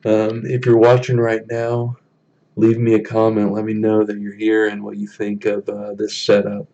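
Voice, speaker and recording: A young man with a deep voice chatting casually on a live stream from a small room, halting with many ums as he reads the chat. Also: completely flat delivery, dark tone, highs cut off. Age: young adult.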